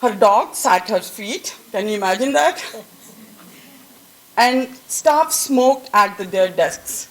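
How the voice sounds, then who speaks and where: A woman's voice: mid-range voice, expressive, takes it slowly, poor audio. A woman with an Indian accent giving a chatty, laughing tribute speech to a colleague at a staff event. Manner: chatty, laughing at own jokes. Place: event room with an audience.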